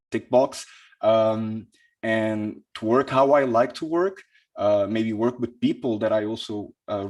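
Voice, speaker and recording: A young man from Portugal giving a conference talk about his career, speaking in a hesitant way with lots of pauses and restarts. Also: lowish voice, a little mellow. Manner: hesitant, many fillers and restarts.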